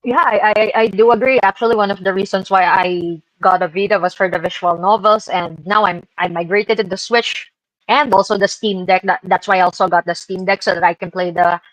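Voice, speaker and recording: A woman's voice: medium pitch, fairly even intonation, a little fast, no room sound, rough recording. Enthusiastic woman presenting games, dry sound. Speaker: gaming show presenter. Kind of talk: casual chat presenting games.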